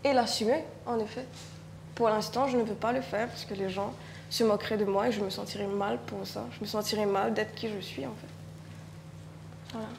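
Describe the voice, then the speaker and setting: A woman's voice: mid-range voice, steady tone, moderate pace, gravelly, background noise. A woman writer from Mauritius speaking earnestly and reflectively at a panel discussion, with some hesitations. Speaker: writer and performer. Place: indoor public event.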